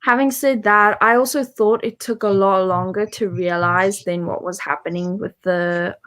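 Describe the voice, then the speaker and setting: A woman's voice: medium pitch, steady tone, normal speed, a bit bright. Female book club co-host, mid-range voice, informal conversational delivery. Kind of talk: casual chatty book discussion.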